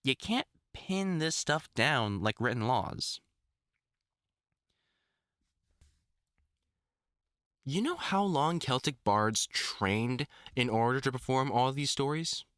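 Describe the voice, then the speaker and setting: A man's voice: mid-range voice, expressive, measured pace, hardly any pauses, fairly bright tone, no echo. A man playing an older, experienced vampire hunter in an audio roleplay, talking informally and reassuringly like a mentor, with dry jokes and mild swearing. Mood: thoughtful, explaining. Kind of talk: intimate one-sided audio roleplay, conversational acting.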